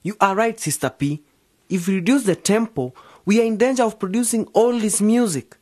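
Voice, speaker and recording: A man's voice: high-pitched, moderate pace, darkish tone, dry recording, studio quality. An actor playing a defensive young male singer in a music group in a radio drama. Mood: weary protest.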